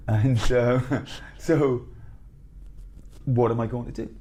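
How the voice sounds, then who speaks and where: A man around fifty, a headmaster telling blunt, animated stories in a candid interview. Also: medium pitch, takes it slowly. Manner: blunt, animated storyteller with bursts of laughter.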